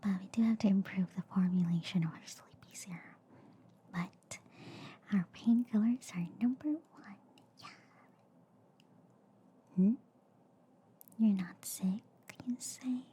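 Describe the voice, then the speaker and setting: A woman voicing a quirky, reassuring alien girl doctor in a soft ASMR roleplay, mostly in a hushed, breathy whisper with a soothing, chatty manner. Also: medium pitch, slight background noise, natural voice. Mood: playful, reassuring. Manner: slow, chatty, soothing, repeating filler words. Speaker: ASMR performer.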